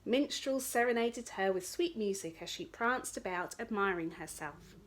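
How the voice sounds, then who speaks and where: A woman storyteller giving an expressive reading of a children's story. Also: mid-range voice, moderate pace.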